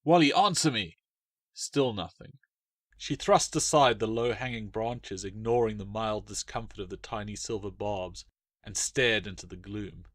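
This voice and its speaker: A man's voice: lowish voice, no echo, studio quality. A man reading his own short story aloud as a dramatic, scripted narration.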